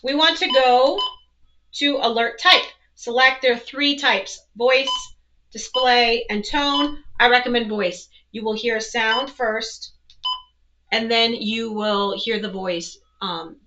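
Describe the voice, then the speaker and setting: A woman's voice: on the high side, lo-fi sound, noisy background. A woman presenting an instructional product walkthrough, explaining things step by step. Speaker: presenter, weather educator.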